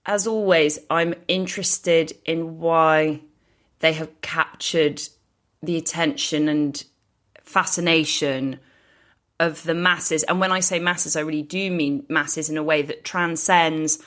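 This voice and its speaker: A woman's voice: low voice, steady tone, slow pace, a bit bright. A woman co-hosting a podcast in casual chat, musing aloud and repeating phrases for emphasis. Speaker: podcast co-host.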